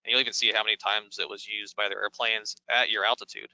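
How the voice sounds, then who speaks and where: A man's voice: fairly low pitch, little pitch movement, fast, bright, forceful tone, no room sound, poor audio. An American man hosting a Q&A as a pilot and instructor, talking in an informal, wordy, instructive way. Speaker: host, pilot and flight instructor. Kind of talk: conversational Q&A hosting.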